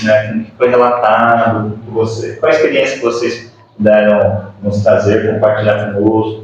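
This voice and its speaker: A man's voice: lowish voice, a little mellow, recorded from a distance. A muffled, heavily echoing recording with faint noise carries a Brazilian man's voice. He hosts in a conversational, hesitant way, rephrasing as he talks. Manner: hesitant, repeats and rephrases questions. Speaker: podcast host. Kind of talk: conversational interviewing. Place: echoey room, far from the microphone.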